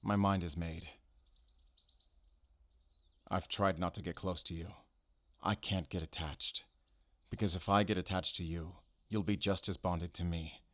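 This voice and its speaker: Audio roleplay lead: man as brooding male werewolf hunter, low voice, halting and solemn, guarded yet intimate. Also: steady tone, a bit bright. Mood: torn, pained. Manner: halting, measured, solemn, intimate address to listener. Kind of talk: cinematic audio roleplay acting.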